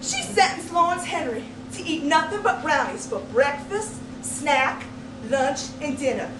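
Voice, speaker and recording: Female contest storyteller, very high voice, theatrical and folksy, performing a tall tale; noisy live room. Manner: dramatic, emphatic delivery with deliberate pauses; folksy storytelling turns of phrase. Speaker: competitive speech contestant telling a tall tale. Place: indoor speech contest room, distant microphone. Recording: roomy, noisy live recording from far off.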